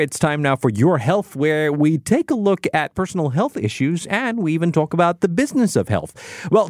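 A man's voice: a little high, no room sound, studio quality. A man hosting a radio talk show, asking rambling, chatty questions with fillers. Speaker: radio host. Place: radio studio. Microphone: studio mic.

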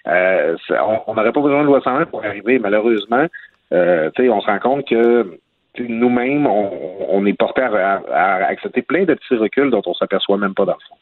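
A man with a Quebec French accent talking casually on the radio as a guest, relaxed and hesitant with many fillers. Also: on the low side, a little fast, no room sound. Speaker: columnist guest. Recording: phone line.

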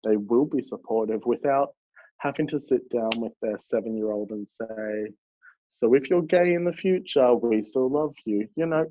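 A man's voice: medium pitch, dry recording, dull audio. A young Australian man talking about his life as a drag performer in an earnest, reflective way, with many fillers. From Melbourne, Australia. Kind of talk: casual podcast interview.

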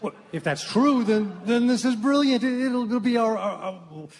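A man playing a gruff, old-fashioned small-town factory owner and grandfather in a radio theatre parody, with a halting way of talking. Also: extremely high pitch, expressive. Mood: halting, warming. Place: live theatre stage in a large hall. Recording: reverberant hall stage recording.

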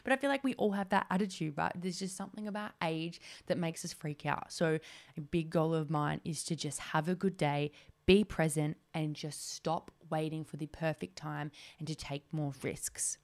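A woman's voice: low voice, fairly even intonation, normal speed, a little mellow. A woman in her twenties hosting a solo podcast, talking in a chatty, rambling way with lots of "like". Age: 20s. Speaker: podcast host.

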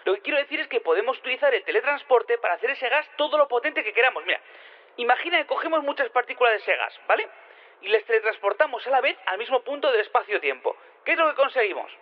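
A man with an accent from Spain playing an excitable, cocksure inventor in an audio drama, emphatic and repeating himself as he tumbles over his words. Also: very high voice, fast talker. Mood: eager. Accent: Spanish (Spain). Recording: tinny band-limited radio-like sound.

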